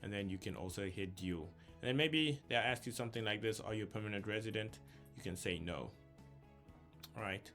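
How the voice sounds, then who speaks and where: Young man, low voice, casual walkthrough, frequent ums, soft music in the background. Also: fairly quick. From South Africa. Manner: chatty, thinks aloud with frequent ums. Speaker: YouTuber walking through an application. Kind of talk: casual screen-share walkthrough.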